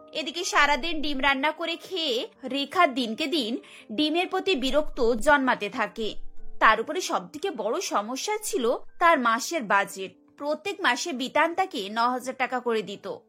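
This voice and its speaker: A woman's voice: high voice, sharp tone. A woman giving scripted narration for a cartoon story.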